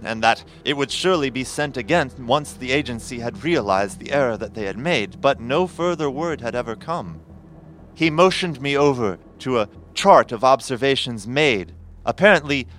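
An actor playing a grave, formal professor who narrates a horror audio drama in a measured, literary way. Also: male voice, medium pitch, a bit bright, natural voice.

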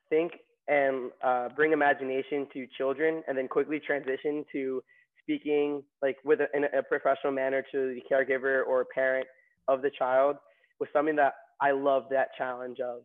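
Young male guest from New York, casual storyteller; narrow pitch, lo-fi phone-quality audio. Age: young adult. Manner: chatty, many fillers and restarts. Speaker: nursing graduate guest. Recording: tinny phone-like line.

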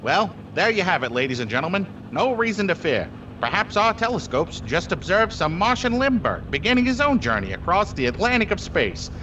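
A man's voice: high voice, big pitch swings, fairly quick, hardly any pauses, highs cut off. 1930s radio field reporter, played by a man: earnest and florid, swooping intonation, noisy background ambience. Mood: earnest, formal. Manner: grandiloquent, formal announcing. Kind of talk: 1930s radio drama spoof.

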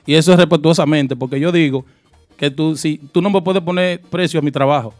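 A man from New York, a guest musician chatting casually in an interview, easygoing and quick to laugh, now and then slipping into English. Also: a little high, a little mellow, no room sound, soft background music.

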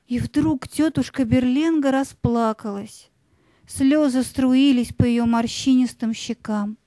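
A woman narrating a scripted fairy tale as an expressive storyteller. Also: sits high, slow pace, no echo.

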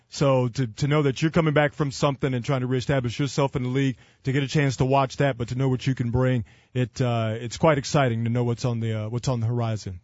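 A man co-hosts sports radio in a mid-pitched voice, joking and laughing easily. His dry studio mic sounds slightly dull. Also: a little mellow, no room sound. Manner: jokey, laughs often. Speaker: radio sports show co-host.

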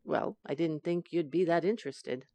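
A woman's voice: sits low, normal speed, warm tone, no echo. A woman playing a breezy, wisecracking young housewife in a radio sitcom, with a flippant comic delivery. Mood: cheerful, matter-of-fact.

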